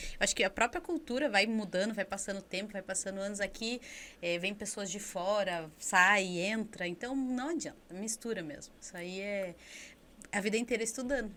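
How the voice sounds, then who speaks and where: Brazilian lady hosting a podcast, bright voice, chatty, faint room hum behind. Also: medium pitch, normal speed. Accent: Brazilian Portuguese. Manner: chatty, steering the conversation. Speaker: podcast host. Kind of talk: casual podcast interview.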